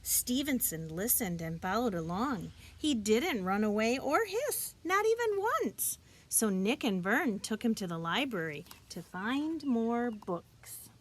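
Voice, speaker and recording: A woman reading a children's picture book aloud, expressively and deliberately, as a teacher would for young listeners. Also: on the high side, big pitch swings. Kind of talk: children's storybook read-aloud.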